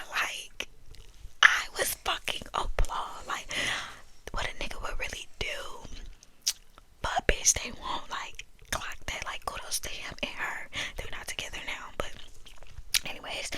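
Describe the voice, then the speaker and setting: A woman's voice: bright, forceful tone, rough recording. A young woman whispering a candid, profane personal story about her school days.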